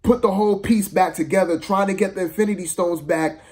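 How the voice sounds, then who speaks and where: Guy w kinda high voice doing a casual movie rant, slangy, exasperated, keeps repeating stuff to make his point. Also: fairly even intonation, fairly quick, darkish tone. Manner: emphatic, repeats phrases for emphasis. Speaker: amateur movie reviewer. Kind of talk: casual opinionated film review vlog.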